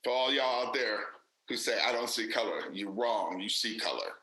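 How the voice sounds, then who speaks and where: A man's voice: medium pitch, normal speed, bright, forceful tone, echoey room, rough recording. A man, an actor and director, speaking candidly and emphatically in a casual interview, with many fillers and restarts.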